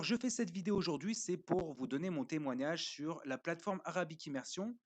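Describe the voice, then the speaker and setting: A man speaking casually as a parent reviewing a learning platform, pausing often with fillers and explaining step by step while he shows a screen. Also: a little high. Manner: hesitant, pauses often with fillers.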